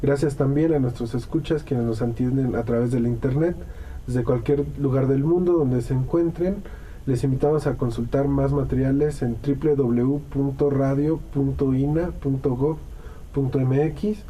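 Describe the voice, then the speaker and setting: A Mexican man hosting a radio interview, calm and steady, giving frequent soft agreement while the guests talk. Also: medium pitch, moderate pace, far from the mic, slight background noise, poor audio. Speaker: radio host.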